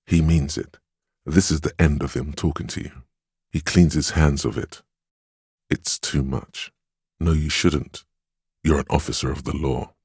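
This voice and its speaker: A man voicing a steadfast inner voice of willpower in a video game, a stern yet encouraging mentor with a measured, solemn delivery and a gravelly, husky tone. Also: very deep voice, steady tone, darkish tone, dry recording, studio quality, heavily acted. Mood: measured, firm. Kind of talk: scripted game narration, second-person counsel.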